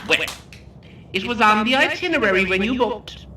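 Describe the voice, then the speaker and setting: A theatrical tour guide relishes every macabre detail in a horror comedy drama. The voice is bright and very animated, taunting and sardonic, with menacing pauses. It sounds roomy and a little distant. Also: male voice, high-pitched, slight background noise. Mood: gleeful explaining. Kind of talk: scripted audio horror comedy acting.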